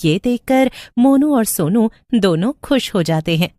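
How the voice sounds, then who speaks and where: A woman's voice: on the high side, animated, measured pace, dark tone, dry recording, clean studio sound. A woman narrating a scripted horror story as the storyteller.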